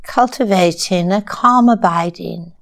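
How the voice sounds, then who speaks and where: A woman teaching a guided meditation in a calm, measured way, with long pauses between short phrases. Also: mid-range voice.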